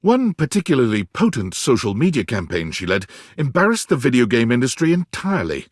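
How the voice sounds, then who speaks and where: A man delivering dramatic scripted narration for a video game, theatrical and deliberate, with storybook relish. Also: mid-range voice, huge pitch swings, dry recording, studio quality.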